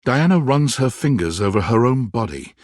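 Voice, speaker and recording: A man narrating a dating video game as a dramatic storyteller, reading scripted prose with a husky voice. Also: medium pitch, big pitch swings, normal speed, dry recording, clean studio sound. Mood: wry intrigue.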